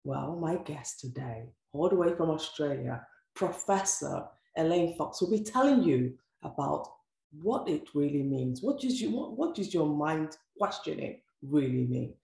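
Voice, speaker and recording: A woman's voice: low voice, lively intonation, a little slow, creaky, warm tone. A woman hosting an interview show, talking effusively and asking rhetorical questions. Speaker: host. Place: room with sofa, indoors. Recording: echoey room with faint music.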